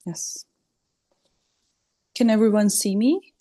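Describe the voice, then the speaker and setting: A young woman in her twenties with a Turkish accent, giving a hesitant online presentation, full of ums, in quick bursts. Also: medium pitch, mellow. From Turkey. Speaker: student presenter. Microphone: video call.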